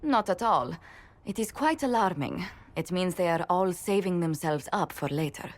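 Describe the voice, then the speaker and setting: An actress playing a refined, gracious diplomatic adviser in a video game, with formal, precise, polite phrasing. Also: female voice, lowish voice, expressive, normal speed. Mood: courteous. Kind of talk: video game dialogue acting.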